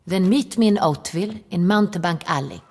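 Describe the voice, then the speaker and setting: An actress playing a refined, commanding duchess in a video game, speaking in a formal, imperious manner. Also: female voice, lowish voice, a little fast, a little mellow. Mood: instructing. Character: commanding, refined noble duchess, decisive ruler. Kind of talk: scripted video game dialogue. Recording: long hall reverb.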